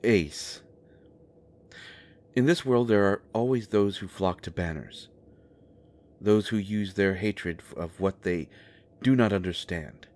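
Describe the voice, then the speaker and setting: Man acting low male voice, pompous eccentric, cryptic prophet, halting, theatrical, fantasy audio drama. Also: darkish tone. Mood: solemn, prophetic.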